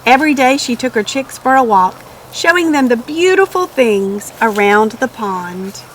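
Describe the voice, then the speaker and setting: A woman's voice: on the high side, animated, moderate pace, fairly bright tone, a little hiss or hum, low-quality recording. A woman narrating a children's storybook expressively. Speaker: storybook reader.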